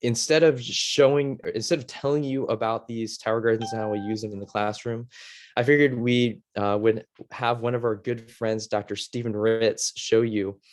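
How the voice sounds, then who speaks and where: A middle-aged American man from Ohio giving an informal online presentation as a former teacher, in a casual, halting way with many fillers. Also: medium pitch, fairly even intonation, slight background noise. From Ohio, United States. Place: video call.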